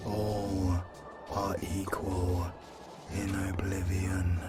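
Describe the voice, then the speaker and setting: A man playing a merciless, zealous angelic destroyer in an audio drama, chanting doom in halting phrases. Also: deep voice, level tone, loud music behind the voice. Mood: cold zealotry.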